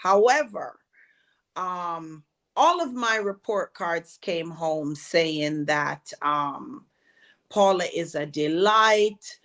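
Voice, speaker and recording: A Jamaican woman in her forties, a guest telling stories about her childhood in an unhurried way. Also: fairly low pitch, lively intonation, a bit bright. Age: 40s. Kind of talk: conversational interview.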